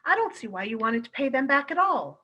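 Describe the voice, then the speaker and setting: A woman acting in a live radio play as a brisk, firm but kindly wife who runs a cinema. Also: high-pitched, sing-song, dull audio. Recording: clearly noisy streamed audio, clicks.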